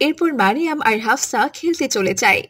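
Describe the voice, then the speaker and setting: A woman's voice: sits high, animated, brisk, a bit bright, dry recording. A woman reading scripted narration for a children's moral story.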